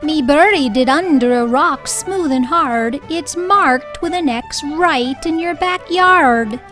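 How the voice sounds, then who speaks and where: A tiny storybook leprechaun, acted out by a woman, gloating and teasing. The voice is high and takes its time, full of sly glee, with music underneath. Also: takes it slowly, a bit bright. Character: tiny tricky gleeful male leprechaun.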